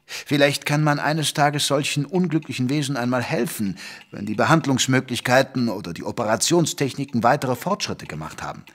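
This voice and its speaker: An actor playing a gentleman physician who narrates a detective radio play in a measured, literary, wry manner. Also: mid-range voice, expressive, natural voice. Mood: earnest, defensive. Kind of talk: scripted audio drama narration and dialogue.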